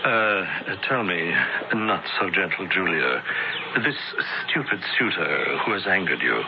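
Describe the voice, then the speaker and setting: An actor playing a sly, powerful pagan high priest in a radio drama, with a deliberate, insinuating, theatrical delivery. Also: male voice, lively intonation. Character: sly, smooth-talking, powerful pagan high priest, master of dark knowledge. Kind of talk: old-time radio drama acting. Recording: dull, very noisy old radio broadcast.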